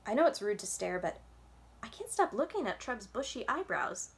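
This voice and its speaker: A woman's voice: on the high side, sing-song, moderate pace. A woman narrating a children's story as a library storyteller, reading from the text. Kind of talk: children's book read-aloud, scripted narration.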